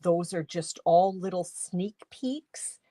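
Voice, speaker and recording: A woman from Canada hosting a casual craft livestream from her home studio, congested with a cold, chatty and rambling, often clearing her throat. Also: on the low side, slow pace, mellow, no room sound. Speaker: livestream host and stamping demonstrator.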